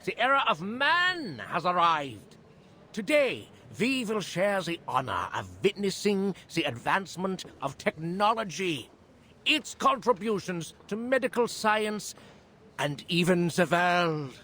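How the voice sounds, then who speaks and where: A man's voice: high voice, sing-song, takes it slowly, bright, forceful tone, full character voice. A man playing a showy physician in a video game, addressing an audience in a formal, grandiloquent, theatrical manner. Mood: grand, showy. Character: showy male physician presenting a demonstration to an audience. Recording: clear background ambience noise.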